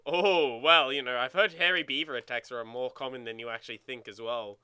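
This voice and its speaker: A man's voice: medium pitch, steady tone, brisk, bright, forceful tone, smooth, dry recording. A man co-hosting a let's play with joking, sweary casual banter, often repeating himself for emphasis. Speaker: let's play co-host and gamer. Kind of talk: casual banter over competitive gameplay.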